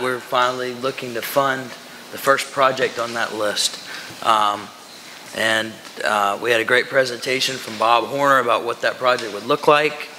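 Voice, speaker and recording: Male council member, forceful bright tone, informal meeting remarks, reverberant hall. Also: medium pitch. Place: large council meeting room. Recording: echoey hall with background noise.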